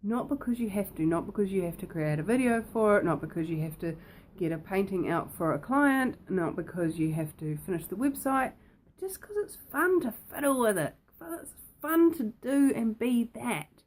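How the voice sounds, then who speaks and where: A woman with a croaky voice talks straight to the viewer as a host. Her manner is playful and repetitive, and she keeps asking questions. Her pitch sits in an ordinary middle range. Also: expressive, moderate pace, a little mellow, slight background noise. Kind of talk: casual talk to camera.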